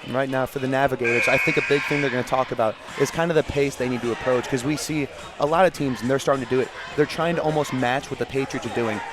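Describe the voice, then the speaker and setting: A man talks fast as a basketball color commentator, breaking down the game. He strings his thoughts together loosely in a conversational way, with a voice of ordinary middle pitch. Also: steady tone. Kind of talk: game analysis.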